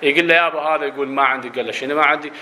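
A man's voice: fairly high pitch, fairly even intonation, racing, pushed and bright, roomy sound, a little hiss or hum. A man with an Iraqi accent teaching a grammar lesson, explaining and quizzing his students, slipping into dialect. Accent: Iraqi Arabic. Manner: explanatory, asks students questions, slips into dialect. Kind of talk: classroom lesson on Arabic grammar.

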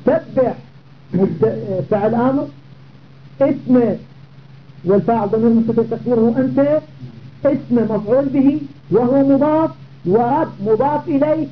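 A man's voice: very high-pitched, moderate pace, pauses often. Methodical male grammar teacher, tinny audio. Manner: methodical, repeats phrases and checks understanding. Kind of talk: classical grammar lesson. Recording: tinny phone-like audio with faint noise.

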